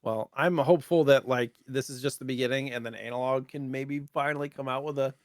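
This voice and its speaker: A man's voice: mid-range voice, steady tone, brisk, no room sound, studio quality. A man co-hosting a podcast, chatting casually about video game news. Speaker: podcast co-host.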